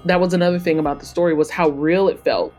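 A woman's voice: fairly low pitch, fairly even intonation, quick pace, warm tone, soft background music. A woman chatting casually as a podcast guest, explaining things in a rambling, emphatic way. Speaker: podcast guest, author and publisher. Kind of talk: casual interview chat.

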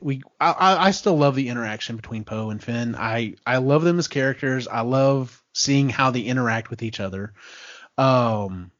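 A man in casual fan conversation as a podcast host, animated, acting out quotes and swearing freely. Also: mid-range voice, no room sound, muffled recording. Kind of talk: casual chat recapping and discussing films.

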